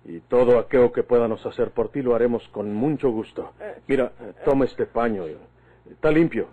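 An actor playing a protective, earnest outlaw hero, in old radio drama style. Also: male voice, mid-range voice, fairly even intonation, moderate pace, phone-quality sound, close to an everyday voice. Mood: consoling.

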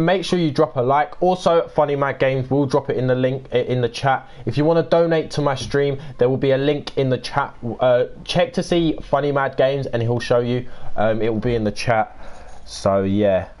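A young man with a croaky voice, chatting casually and swearing as he livestreams a game and greets viewers by name. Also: mid-range voice. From United Kingdom. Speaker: streamer. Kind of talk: casual gaming livestream chat.

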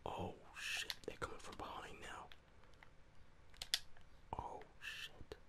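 A young man whispering casual, sweary ASMR gaming commentary to his viewers, close to the microphone. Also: slow pace. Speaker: gaming streamer.

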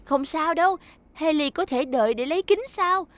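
A woman voicing a bright, capable, friendly young female mechanic in a children's cartoon dub, helpful and encouraging. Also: high voice, expressive, normal speed, no room sound.

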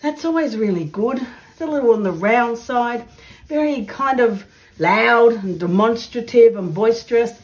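A woman's voice: on the high side, unhurried. Chatty Australian woman in her studio. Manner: chatty, laughing, exclaims over her work. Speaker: mixed media artist. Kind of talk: casual craft demo chat. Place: home art studio, indoors.

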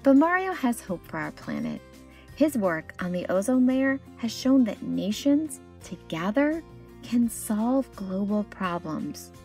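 A woman storyteller reading a children's picture book aloud expressively, with deliberate phrasing and dramatic pauses. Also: medium pitch, huge pitch swings, darkish tone, soft background music. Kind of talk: expressive read-aloud narration.

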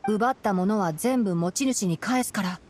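A woman playing a young male forest ranger in a Japanese anime game, calm and studious, explaining things in a measured way. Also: medium pitch, noisy background, natural voice. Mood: calm, analytical. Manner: measured, matter-of-fact explaining.

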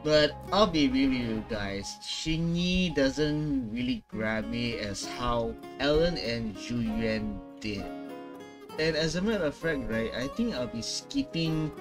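A man's voice: medium pitch, very animated, slow, music in the background. A young adult man giving casual reaction commentary as a gaming host, recorded indoors on a close mic. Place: small indoor room.

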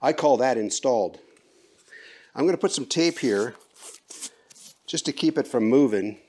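A man's voice: mid-range voice, expressive, measured pace, darkish tone. Plain-spoken middle-aged man explaining a DIY job. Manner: folksy, plain-spoken instructions. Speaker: DIY vlogger. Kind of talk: casual how-to narration. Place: open garage, then outdoors on a roof.

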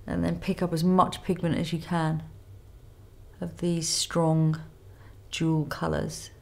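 A woman in her thirties with a cold and a nasal voice, calmly talking through a makeup tutorial step by step in a studio. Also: low-pitched, slow pace, creaky. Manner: calm step-by-step instruction while applying makeup. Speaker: makeup artist. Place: indoor studio with plain grey backdrop.

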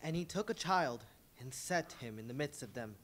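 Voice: mid-range voice, expressive, moderate pace, lightly acted. A performer playing an earnest young son comforting his parents.

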